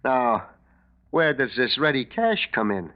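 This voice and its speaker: An actor in a 1940s radio drama playing a worried, debt-ridden garage owner with a hesitant way of talking. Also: male voice, mid-range voice, very animated, measured pace. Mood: wary, weary. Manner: hesitant, stammering, pleading. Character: anxious, debt-ridden garage owner, weary adult man. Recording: dull lo-fi 1940s radio recording.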